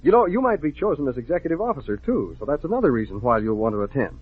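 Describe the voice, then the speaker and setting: An American man co-hosting a children's radio show, eagerly announcing and pitching straight to young listeners. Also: big pitch swings, very fast, breathy edge. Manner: eager, addresses children directly. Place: radio studio. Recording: tinny, phone-like old radio recording.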